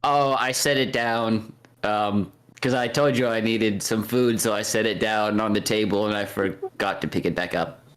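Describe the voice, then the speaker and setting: Lower-voiced, dryly comic man in a game. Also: steady tone, moderate pace, few pauses. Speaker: tabletop game player. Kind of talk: casual in-character improv comedy.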